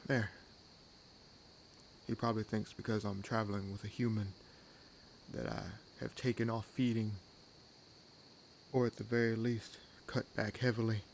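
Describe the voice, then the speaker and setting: A man playing a wry, world-weary vampire hunter in an intimate audio roleplay, teasing and sardonic, with unhurried pauses. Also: on the low side, gravelly, slight background noise. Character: wry, world-weary one-armed male vampire hunting his own kind. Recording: nature ambience laid under voice. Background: forest ambience with crickets and insects.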